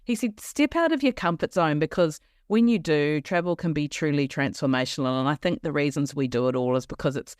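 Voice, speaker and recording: A woman's voice: low voice, a little fast, hardly any pauses, darkish tone, no echo, studio quality. Chatty Australian woman podcast host. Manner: enthusiastic, chatty with restarts. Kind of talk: casual interview chat.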